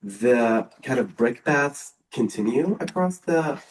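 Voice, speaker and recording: A native Arabic speaker in the audience asking a casual question, full of fillers. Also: male voice, mid-range voice, expressive, slow. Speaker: audience member. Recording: roomy hall, distant mic.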